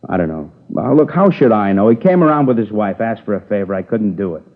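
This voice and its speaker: A whiny aging ex-con on old radio. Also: male voice, fairly low pitch, lively intonation, fast talker. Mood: defensive. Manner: whiny, evasive, complaining. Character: sullen aging male ex-convict, defensive. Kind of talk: 1950s radio crime drama. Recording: tinny narrow-band old radio transcription.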